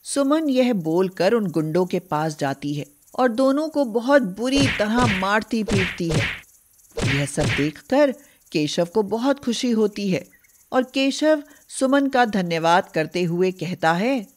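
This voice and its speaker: A woman narrates a scripted moral tale for a cartoon. She goes at a slow pace in a mid-pitched voice. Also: lively intonation. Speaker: story narrator.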